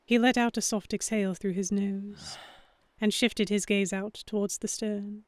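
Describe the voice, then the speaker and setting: An earnest, anxious young heroine voiced by a woman tells her story in a mid-pitched voice. The delivery is measured and expressive, like a dramatic audiobook, and the sound is very dry and close. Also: dry recording, close to an everyday voice. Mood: tense, introspective. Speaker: narrator and lead character. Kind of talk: first-person dramatic audiobook-style narration.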